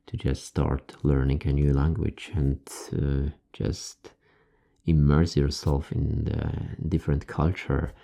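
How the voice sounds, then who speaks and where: A man with a Polish accent answers viewer questions in a relaxed, hesitant way. His voice sits extremely low and has a gravelly fry. The tone is very dark. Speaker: ASMR creator.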